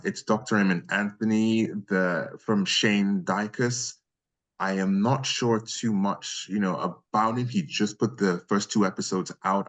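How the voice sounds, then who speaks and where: Man, deep bass voice, low-pitched, casual interview guest, effusive and appreciative.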